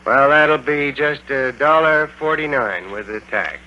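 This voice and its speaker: A man's voice: medium pitch, unhurried, loud music behind the voice, natural voice. An actor playing a chatty, folksy male gas station attendant in a 1940s radio drama. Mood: amused, chatty. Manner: folksy, talkative, casual. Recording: dull, noisy old radio recording.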